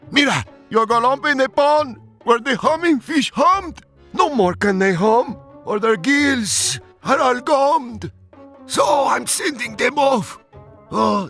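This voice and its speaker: Grumpy old woodland creature, high-pitched, croaky, indignant, scolding, bright forceful tone, rhyming storybook character. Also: male voice, exaggerated voice. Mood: angry scolding. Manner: emphatic, exclamatory, scolding.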